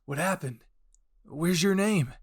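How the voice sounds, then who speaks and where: A man's voice: a little high, slow, slightly rough, pitched above the performer's own voice, heavily acted. A man voicing a distraught, impulsive young male soldier in a story, frantic and urgent. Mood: confused, worried.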